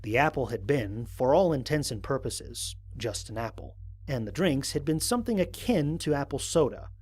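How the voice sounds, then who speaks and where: A man playing an observant, analytical space explorer, narrating formal mission logs in a measured, precise way for an audio drama. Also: medium pitch, lively intonation, a little mellow, breathy edge. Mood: measured, reflective. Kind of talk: scripted first-person audio drama narration.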